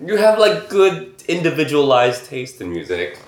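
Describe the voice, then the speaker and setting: A middle-aged man from Taiwan, a podcast guest chatting casually, animated and quick with questions. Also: on the high side, slight background noise. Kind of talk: casual conversation. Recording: roomy echo.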